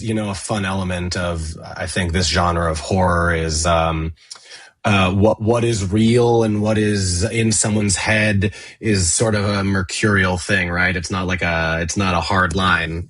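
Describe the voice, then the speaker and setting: A man's voice: deep voice, fairly bright tone, dry recording. A man on a podcast panel, thoughtfully and hesitantly analysing a story in casual talk. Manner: hesitant, thoughtful, often checking with "right?". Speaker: cast member and podcast panelist.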